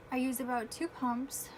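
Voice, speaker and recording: Young woman in her twenties, unhurried talk, slightly high voice, phone recording with steady background noise. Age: 20s. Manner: chatty, self-deprecating, frequent throat clearing. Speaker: beauty vlogger. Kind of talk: casual makeup tutorial chat. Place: home room with air conditioner. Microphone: phone camera. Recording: noisy home phone recording.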